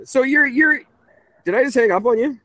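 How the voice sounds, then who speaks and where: A man hosting a conversational call-in radio show, halting and often interrupting himself. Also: extremely high pitch, pushed and bright, dry recording. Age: 30s.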